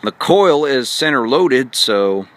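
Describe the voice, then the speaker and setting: Man giving a halting, casual walkthrough. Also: medium pitch, animated, a bit bright. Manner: halting, pauses often mid-sentence. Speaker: vlogger showing a radio install.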